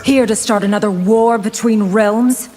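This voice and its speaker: A woman's voice: mid-range voice, steady tone, a little slow, lightly acted. A woman voicing a dignified, resolute warrior princess, stern and reproachful, in a fighting video game. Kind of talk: video game character dialogue. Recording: loud background noise.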